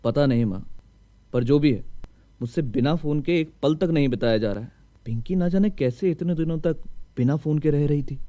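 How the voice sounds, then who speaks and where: A man voicing a brusque, hurried young husband in a moral-story cartoon, dismissive and matter-of-fact. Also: fairly high pitch, dark tone, no echo, close to an everyday voice. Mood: troubled.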